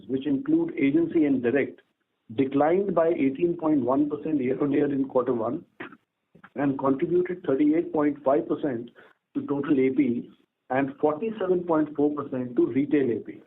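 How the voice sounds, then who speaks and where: A man's voice: a little high, moderate pace. A man with an Indian accent giving a formal, scripted business update as a company executive. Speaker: company executive on an earnings call. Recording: narrow phone line with background noise.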